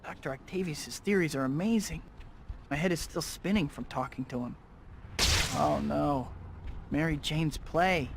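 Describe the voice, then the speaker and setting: Earnest, high-pitched young superhero. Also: male voice, a little slow, creaky, music in the background. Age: young adult. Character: earnest, wisecracking young male superhero, awkward. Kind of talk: video game acting, casual.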